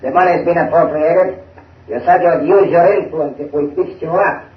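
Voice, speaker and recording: High, blunt actor as a crooked contractor. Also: male voice, steady tone, moderate pace, distant mic, lightly acted. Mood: impatient. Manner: blunt, pushy. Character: impatient, tough male contractor paying bribes. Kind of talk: 1930s radio acting. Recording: muffled, echoey film soundtrack from afar.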